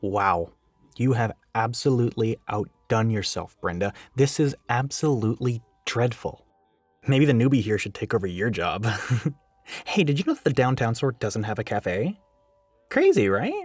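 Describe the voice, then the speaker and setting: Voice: medium pitch, big pitch swings, normal speed, slightly rough, no room sound. Performer doing a bossy flirty scheming store coworker, sarcastic, really swoopy voice, close dry mic w music behind. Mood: mocking. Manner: sarcastic, teasing, swinging from barking to cooing. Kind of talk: acted audio roleplay monologue to listener.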